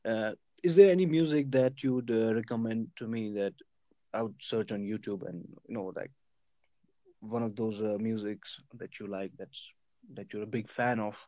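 A man's voice: on the low side, moderate pace, mellow, dry recording. Man from Kashmir, casual conversation, hesitant delivery, frequent laughs, dry mic. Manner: hesitant, many fillers, laughs often. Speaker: conversation partner. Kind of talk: casual chat.

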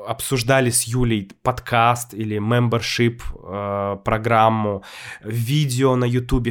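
A man who teaches Russian, talking through his story in a casual, thoughtful way with frequent pauses and fillers. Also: medium pitch. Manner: thoughtful, hesitant, with many fillers and restarts. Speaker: Russian language teacher and YouTuber. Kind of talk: casual explanatory monologue.

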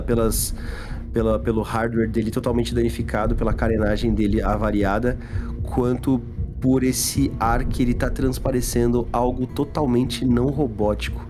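A Brazilian man playing a tabletop role-playing game, improvising narration in a halting way and repeating phrases. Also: medium pitch.

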